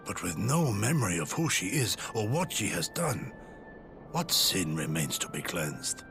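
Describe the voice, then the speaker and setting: An actor playing a solemn, conscientious retainer who speaks in grave, formal, measured rhetoric in a video game drama. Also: male voice, sits low, big pitch swings, vocal fry, over music, full character voice. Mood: grave appeal.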